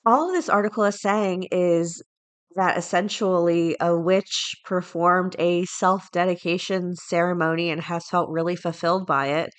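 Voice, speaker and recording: A woman with a slightly low-pitched voice hosts a solo podcast. She speaks in a casual, conversational way, moving between reading aloud and offering informal asides of her own. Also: fairly even intonation, unhurried. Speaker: podcast host. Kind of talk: casual solo podcast talk with news headlines read aloud.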